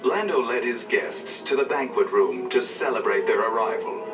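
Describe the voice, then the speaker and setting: A man narrates a children's adventure record in a scripted, dramatic style. His mid-pitched voice sits a little distant under loud music. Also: lively intonation, moderate pace. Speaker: storybook narrator.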